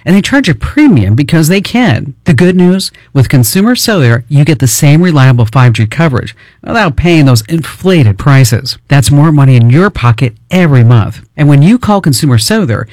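A man hosting a call-in radio talk show, chatty and upbeat, asking quick follow-up questions. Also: on the high side, darkish tone, no room sound, clean studio sound. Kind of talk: call-in tech radio chat and ad read.

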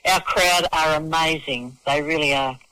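A man's voice: on the high side, fairly even intonation, moderate pace, bright, forceful tone, smooth, low-quality recording. A middle-aged or older man with an Australian accent, chatting casually and effusively as an interview guest, matey and often repeating words as he starts phrases. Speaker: festival organiser and singer-songwriter, interview guest. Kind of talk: casual radio interview chat.

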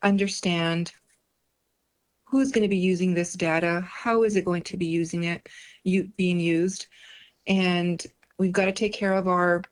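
Woman, native Spanish speaker, political candidate in a radio interview, earnest, repetitive, low-pitched. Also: steady tone, a little slow. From Mexico. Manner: earnest, repeats phrases for emphasis. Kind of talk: interview answers.

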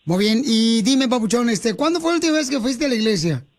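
A man's voice: extremely high pitch, lively intonation, fairly quick. A man hosting a playful radio matchmaking segment, teasing callers with pet names. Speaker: radio show host.

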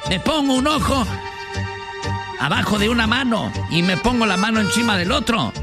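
Teasing mock Argentine pundit, put on by a man, high lively pitch, over a loud music bed. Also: normal speed. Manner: sprinkles slang tags, mocking and theatrical.

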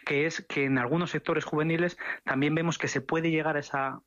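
Male academic guest, mid-pitched, measured and searching, muffled dry line. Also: fairly even intonation, fairly bright tone, no room sound. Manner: measured, thoughtful, repeats words while searching. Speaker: sociologist guest. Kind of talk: radio interview answers.

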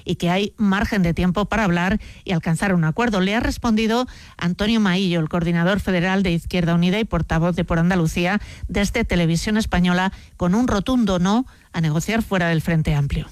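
Crisp, dry-sounding woman reading radio news. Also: on the low side, a little fast, no echo. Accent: Spanish from Spain. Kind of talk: scripted news bulletin.

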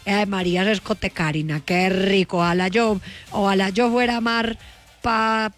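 A woman's voice: medium pitch, fairly even intonation, normal speed. A woman with a Colombian accent hosting a radio show in casual, teasing banter. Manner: playful, teasing. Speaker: radio host. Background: music.

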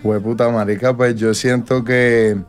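A man's voice: mid-range voice, flat delivery, unhurried, soft background music. A Colombian man in his twenties, a singer talking casually in an interview, rambling with slang and restarts. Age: 20s.

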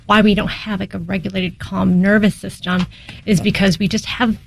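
A woman interview guest explaining her work informally, in halting conversational phrases. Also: medium pitch, little pitch movement, gravelly. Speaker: interview guest, breathwork coach.

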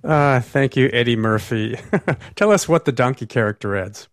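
A man's voice: medium pitch, normal speed, no echo, studio quality. A middle-aged man hosting a conversational radio interview. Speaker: radio host.

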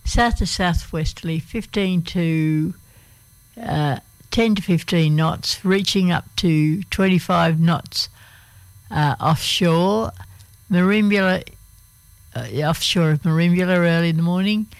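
A woman with an Australian accent and a croaky voice, presenting the weather on community radio in a hesitant, unscripted way with many fillers. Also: lowish voice, slow pace, no echo. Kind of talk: reading the local weather on air.

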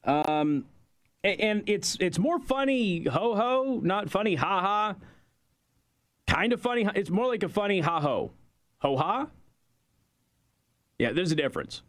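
A man's voice: high-pitched, sing-song, a little slow, long pauses, a bit bright, no room sound. A man hosting a talk radio show, delivering an opinionated, rambling monologue into a studio microphone with a sarcastic, mocking edge.

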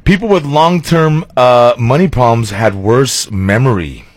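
A man's voice: mid-range voice, animated, measured pace, background noise. A man co-hosting a morning radio show, playful and hesitant, stumbling through fillers. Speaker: radio co-host.

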